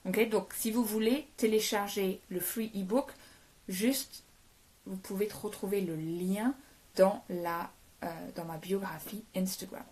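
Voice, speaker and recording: French woman from france teaching live on her phone, casual and chatty, mid pitch, mixes in english words. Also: fairly even intonation, measured pace, a little mellow. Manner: chatty, greets and answers viewers' comments. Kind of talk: casual livestream lesson. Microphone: phone held for a live stream.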